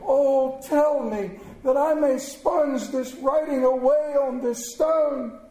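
A man's voice: high-pitched, animated, a little fast, warm tone. A man voicing an emotional, exclamatory old miser from a storybook, stammering and repentant, in a dramatic reading. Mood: desperate pleading. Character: repentant old male miser, desperate, then giddy with joy. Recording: echoey room.

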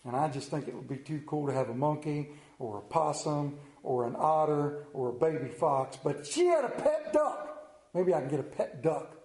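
A man's voice: a little high, animated, normal speed, a little mellow, echoey room. A man with a Central Maryland accent preaching a sermon, folksy and mixing stories with personal asides. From Central Maryland, United States. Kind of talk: sermon with storytelling.

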